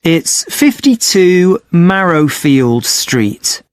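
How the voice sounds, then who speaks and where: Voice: medium pitch, sing-song, extremely slow, clear, resonant tone, dry recording, studio quality. An actor playing a polite young amateur photographer, explaining things a little hesitantly in a scripted listening-test dialogue.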